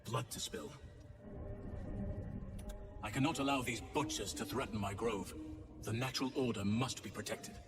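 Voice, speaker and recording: A man plays a solemn, protective druid leader from a fantasy video game. He speaks formally and with measured resolve. The voice sits back from the mic in a reverberant hall, with loud music behind. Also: mid-range voice, lightly acted. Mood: grim, resolute. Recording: echoey hall, distant, under loud music.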